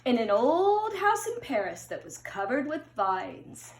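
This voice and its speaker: A middle-aged woman reading a picture book aloud to young children in an expressive singsong way, recorded in a room at home. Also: a little high, slight background noise. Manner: slow, deliberate, singsong storytelling addressed to children. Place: indoors upstairs at home. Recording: roomy home recording with echo.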